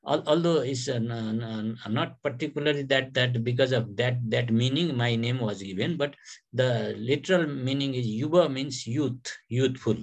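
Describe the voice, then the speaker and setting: A man's voice: medium pitch, normal speed. A man from Nepal, a native Nepali speaker, answering interview questions over a video call and often repeating words. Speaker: guest, journalist.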